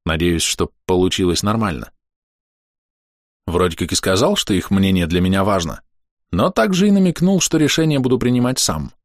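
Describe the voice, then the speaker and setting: A man narrating a novel in the first person, telling the story in a measured, conversational way. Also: low-pitched, animated, no room sound, clean studio sound. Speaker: audiobook narrator.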